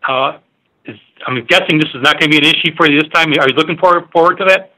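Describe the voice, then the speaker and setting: Male sports reporter on a conference call: bright, slightly high voice, informal, stumbling questions. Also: rough recording. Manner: halting, rambling, informal questions. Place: remote on a media conference call.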